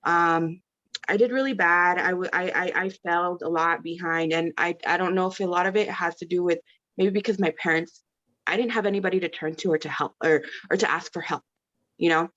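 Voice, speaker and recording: A woman's voice: on the low side, fairly even intonation, fairly quick, fairly bright tone, highs cut off. A woman in her thirties, from California, talking casually as a parent on a panel, rambling and correcting herself as she goes. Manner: chatty, rambling, self-correcting. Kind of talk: casual video-call talk. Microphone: video call.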